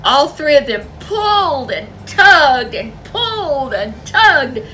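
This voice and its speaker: A woman's voice: high-pitched, sing-song, takes it slowly, sharp tone, a little hiss or hum. A woman storyteller reading a folk tale aloud in a dramatic, emphatic way. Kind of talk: animated folk tale narration for children.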